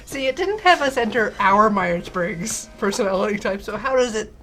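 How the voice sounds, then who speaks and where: A woman's voice: mid-range voice, lively intonation, normal speed, a bit bright, soft background music. A woman co-streamer chatting casually with wry joking commentary during a game stream.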